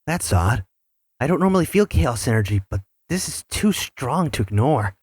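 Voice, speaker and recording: A man's voice: mid-range voice, very animated, normal speed, darkish tone, husky, no room sound. A man playing a young hedgehog fugitive hero in a fan audio drama, musing to himself in wonder. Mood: puzzled.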